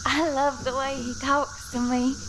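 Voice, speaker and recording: An actress playing a lovestruck young woman who speaks in a slow, swooning way. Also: sits high, level tone, background noise. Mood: dreamy.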